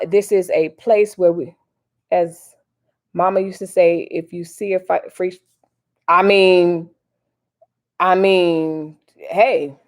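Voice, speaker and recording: An American woman with a fairly low voice hosts a casual livestream. She rambles in loose, folksy slang, drifting between commentary and replies to her viewers. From Miami, United States. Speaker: livestream host. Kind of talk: casual live chat with commentary.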